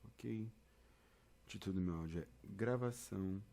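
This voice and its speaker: A man's voice: on the low side, normal speed, gravelly, dark tone. A man with a Brazilian accent giving an informal step-by-step tutorial, talking directly to listeners and often checking in with them. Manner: conversational, addresses listeners directly with frequent check-ins. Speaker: instructor presenting a tutorial.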